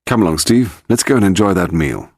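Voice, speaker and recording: A composed male detective read by a male narrator talks with brisk authority in an audiobook mystery. His delivery moves widely in pitch, recorded close and dry. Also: lively intonation, darkish tone, no room sound. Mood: calm confidence. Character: Paul Temple, composed, commanding male amateur detective.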